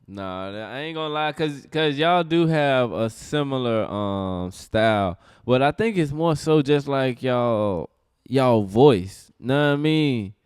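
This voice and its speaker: Brief: male host, smooth clean voice, dry close sound, relaxed casual questions. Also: mid-range voice, takes it slowly, no room sound. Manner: relaxed, hyped greetings, slangy questions. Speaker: podcast host. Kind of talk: casual interview chat.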